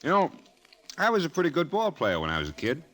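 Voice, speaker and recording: An actor playing a smooth, sly high-rolling gambler in an old-time radio drama, casual and understated in his confidence. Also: wildly swooping pitch, fast talker, fairly bright tone.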